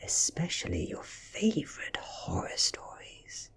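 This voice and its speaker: A British man voicing an ancient female vampire in a storybook reading, seductive and calculating, with soft breathy coaxing. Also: slow. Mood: playful. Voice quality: breathy, whispery.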